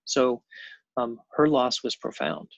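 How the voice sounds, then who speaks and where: A man in his fifties telling his own story as a guest, halting with many pauses and fillers. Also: mid-range voice, very animated, no room sound, highs cut off. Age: 50s. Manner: halting, many ums and restarts. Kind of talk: personal storytelling on a panel show.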